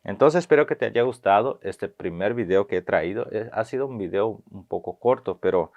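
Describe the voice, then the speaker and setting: A man, giving a video language lesson and slowly repeating phrases with hesitant fillers. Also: fairly low pitch, darkish tone, highs cut off. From Paraguay. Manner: slow, careful repetition of phrases, with hesitant fillers. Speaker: language teacher.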